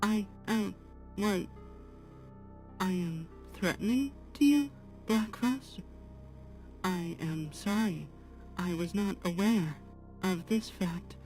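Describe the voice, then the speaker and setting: A woman voicing a polite, apologetic artificial-intelligence assistant on a livestream, speaking formally in measured, halting phrases. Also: medium pitch, darkish tone, soft background music. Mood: earnest, apologetic. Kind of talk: slow guided talk to a live chat.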